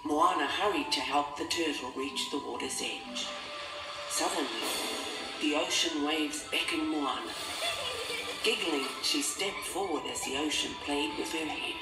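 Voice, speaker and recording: A man reading a storybook as narrator in a measured, theatrical, dramatic style. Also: fairly high pitch. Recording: distant, roomy sound under loud music. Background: loud music.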